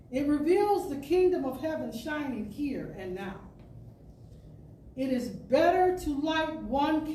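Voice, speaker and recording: An echoey, slightly distant recording of a woman giving a spiritual reading. Her voice sits fairly high and she takes her time, shaping each phrase with emphasis and dramatic pauses in the manner of a storyteller. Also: darkish tone. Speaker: storyteller, inspirational speaker. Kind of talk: spiritual talk read aloud as dramatic storytelling. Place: indoor room at a spiritual center. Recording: echoey room, distant mic, faint noise.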